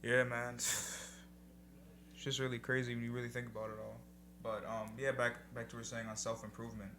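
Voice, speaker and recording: Young man, casual podcast talk, reflective, mid pitch, faint background hum. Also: little pitch movement, a little fast, fairly bright tone. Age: young adult. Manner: laid-back, reflective, casual swearing. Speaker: podcast co-host. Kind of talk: casual chat.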